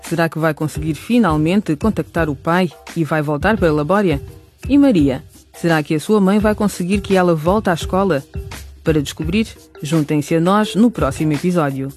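A man's voice: a little high, moderate pace, darkish tone, soft background music. A man reading scripted narration as the presenter of a radio drama.

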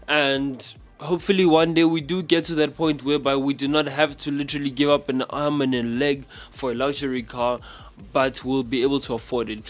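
A man from South Africa hosting a casual radio chat show as a DJ. He talks in a chatty, rambling way and second-guesses himself mid-sentence. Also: fairly high pitch. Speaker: radio DJ host.

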